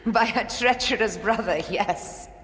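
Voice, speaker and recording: An actress playing a devoted, determined ancient Egyptian avenger in a dramatic video game cutscene. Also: female voice, on the high side, big pitch swings, slow, fairly bright tone, in a large hall, slight background noise, full character voice.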